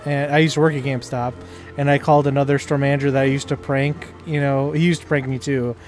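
A man's voice: mid-range voice, level tone, fast, darkish tone. A man chatting casually and jokingly as he plays a video game, rambling along. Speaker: gamer. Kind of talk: casual co-op gaming chat. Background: game music.

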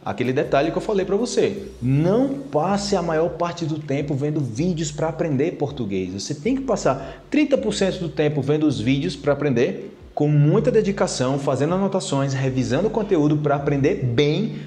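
A Brazilian man in his thirties teaching a casual video lesson to camera on a lapel mic, animated and chatty, tagging his sentences with little questions. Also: fairly high pitch, normal speed, hardly any pauses, echoey room. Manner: animated, gesturing a lot with his hands; chatty, with many tag questions. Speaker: Portuguese teacher. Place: plain-walled room indoors.

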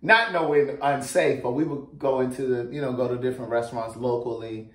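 A man's voice: medium pitch, fairly even intonation, fairly quick, fairly bright tone, roomy sound. An American man in his forties telling a story casually and dramatically, exuberant and often laughing as he talks. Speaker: vlogger telling stories. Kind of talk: animated casual storytelling among family. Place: open dining room at home.

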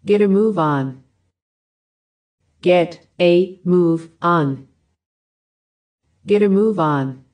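A woman reading short English phrases for a language lesson, each one repeated with careful, even enunciation. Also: fairly low pitch, extremely slow, pauses often, muffled recording. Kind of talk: slow repeated phrase drills.

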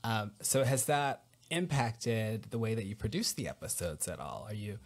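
A man in his thirties hosting a podcast interview over a video call. He speaks warmly and encouragingly, and he asks long, open questions. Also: on the low side, animated, normal speed. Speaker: podcast host. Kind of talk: conversational interview. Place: home room, on a video call. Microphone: over-ear headset.

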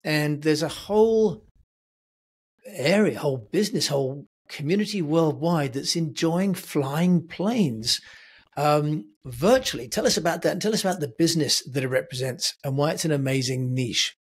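A man's voice: fairly high pitch, expressive, normal speed, breathy edge. A man in his sixties hosting an interview over a video call from his home office, with a friendly manner that stretches key words for emphasis. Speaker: podcast host. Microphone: headset and boom mic.

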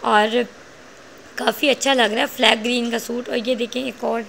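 A woman narrates a shop walkthrough, praising each item as she goes. Her voice sits fairly high, with a gravelly edge and little rise and fall. She records on a phone in a shop, with faint noise behind her. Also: pushed and bright. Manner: chatty, praising, listing prices. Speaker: vlogger showing clothes at a shop. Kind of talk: casual shopping vlog narration. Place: indoor clothing shop.

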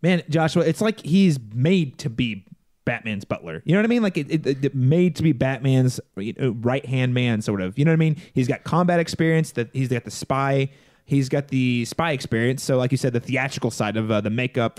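A man's voice: medium pitch, a little fast, darkish tone, no echo. Casual male podcast co-host, dry sound. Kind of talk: casual chat, asking and reacting.

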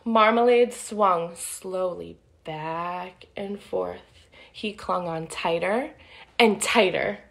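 A woman's voice: lowish voice, vocal fry. A woman in her thirties reading a picture book aloud to young children, with sing-song delivery and friendly asides. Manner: animated, slow and deliberate, sing-song child-directed delivery. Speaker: children's storytime reader. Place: living room at home, indoors. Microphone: phone or webcam at arm's length.

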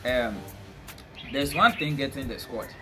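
A young Ghanaian man giving casual football punditry, speaking in a halting way and often repeating words. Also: mid-range voice, animated. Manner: halting, repetitive. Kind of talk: casual sports punditry, mixing English with a local language. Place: small bare indoor room, seated at a table. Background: music.

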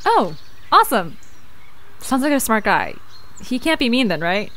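A woman's voice: on the high side, very animated, a little slow, fairly bright tone, a little hiss or hum. A woman acting a cautious, sarcastic young girl in an audio drama, casual and exasperated. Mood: wary, sarcastic.

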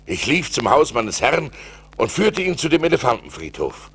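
An actor playing a seafarer who recounts perilous adventures theatrically and deliberately, in an audio play transferred from vinyl. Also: male voice, mid-range voice, huge pitch swings, creaky, fairly bright tone, a little hiss or hum, heavily acted. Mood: awed, dramatic. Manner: theatrical, deliberate, swelling with suspense. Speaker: narrator. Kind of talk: dramatic storytelling in an audio play.

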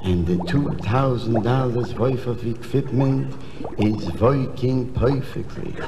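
Slow, grumbling comic everyman. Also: mid-range voice. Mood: anxious, wondering. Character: anxious, thrifty, grumbling everyman, comic customer or shopkeeper. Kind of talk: 1960s comedy record sketch acting. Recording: noisy, reverberant old comedy record before audience.

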